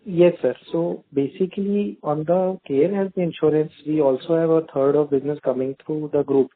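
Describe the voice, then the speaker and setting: A man's voice: a little high, steady tone, normal speed. A man with an Indian accent politely asking questions as an analyst on a conference call. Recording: thin phone line.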